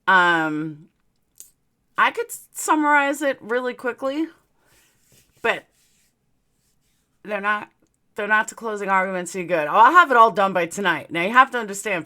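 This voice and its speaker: A woman's voice: mid-range voice, bright, forceful tone. A middle-aged woman with a New York accent and speech slightly affected by dental aligners, chatting casually and bluntly as a livestream host, rambling and swearing. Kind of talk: casual livestream chat with viewers.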